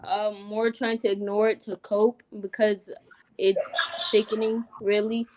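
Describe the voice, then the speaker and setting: A young woman speaks slowly and hesitantly, with many fillers, as a guest on a discussion panel. Faint noise runs under her voice. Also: mid-range voice, little pitch movement. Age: young adult. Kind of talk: casual discussion.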